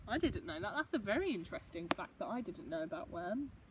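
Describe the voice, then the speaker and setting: A woman's voice: mid-range voice, very animated, fairly quick, far from the mic, noisy background. A woman reading a picture book to young children, expressively, with explanatory asides and questions for the listeners. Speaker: storybook reader for young children.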